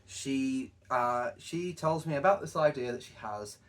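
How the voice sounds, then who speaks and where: A young man co-hosting a casual retrospective chat, halting and often repeating his phrases as he goes. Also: medium pitch, lively intonation. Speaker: co-host, web series creator. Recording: distant from the mic.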